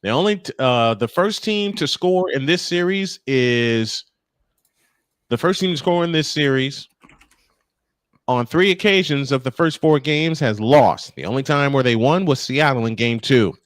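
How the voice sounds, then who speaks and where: A man's voice: mid-range voice, expressive, a little slow, no room sound. A man doing live baseball play-by-play, folksy and chatty as he banters with co-hosts. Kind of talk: live sports commentary with casual banter.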